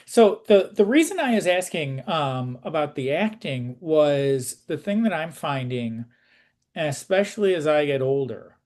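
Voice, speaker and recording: A man's voice: a little high, big pitch swings, measured pace, darkish tone. A middle-aged or older man interviewing someone with casual, exploratory questions.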